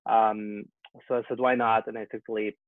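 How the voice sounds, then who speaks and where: A man's voice: lowish voice, flat delivery, moderate pace, no echo. A young man from Peru who grew up in Canada, talking casually and upbeat in an interview, with frequent ums. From Peru, raised in Canada. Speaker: guest, software engineer. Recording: tinny phone-like line, dry.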